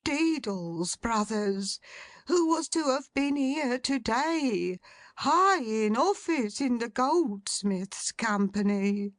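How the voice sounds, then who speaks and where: A woman's voice: fairly high pitch, expressive, slow, no echo, studio quality, pitched above the performer's own voice. A woman voicing a flustered, fussy secretary. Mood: agitated. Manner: flustered, breathless exclamations. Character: agitated fussy male secretary, middle-aged.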